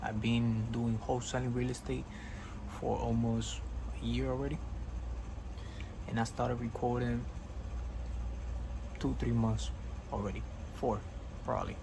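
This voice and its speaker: A young man of about twenty with a gravelly, frying edge to a mid-pitched voice. He talks in blunt, slangy, earnest fashion, like a motivational vlogger addressing viewers directly. Clear noise, with vehicle sounds, sits behind him. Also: little pitch movement, unhurried, long pauses. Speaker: vlogger and real estate entrepreneur. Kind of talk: casual motivational vlog monologue.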